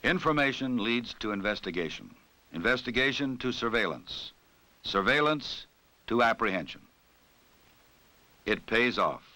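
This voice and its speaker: An American man reads scripted narration for a 1960s police training film in a formal, authoritative, measured manner. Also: mid-range voice, animated, sharp tone, poor audio.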